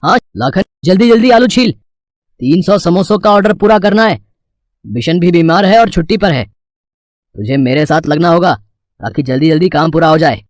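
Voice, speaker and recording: An actor playing a fair, kindly sweet-shop owner in a cartoon story, businesslike and measured. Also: male voice, on the high side, lively intonation, no echo, natural voice.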